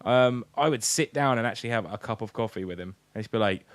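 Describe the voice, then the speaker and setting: A man's voice: lowish voice, fast, no room sound. British male podcast co-host, tipsy, rambling and sweary, joking around, very dry studio-close sound. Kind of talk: casual comic banter.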